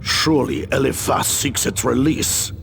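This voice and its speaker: A man's voice: mid-range voice, measured pace, gravelly, a bit bright. A man playing a concerned, thoughtful warrior scholar in a video game cutscene.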